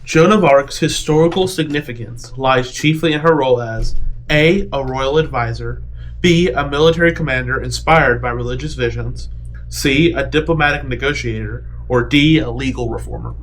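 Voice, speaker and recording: Casual mid-pitched man playing a game. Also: normal speed, fairly bright tone, rough recording. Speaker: game player. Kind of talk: casual chat and reading quiz questions aloud. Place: indoor room at a university.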